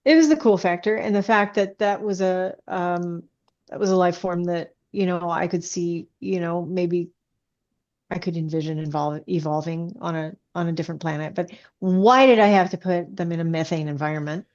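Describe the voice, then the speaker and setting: A woman's voice: lowish voice, moderate pace, darkish tone, muffled recording. A woman speaking as an interviewed author, chatting informally and telling stories with frequent asides. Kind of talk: casual conversational interview.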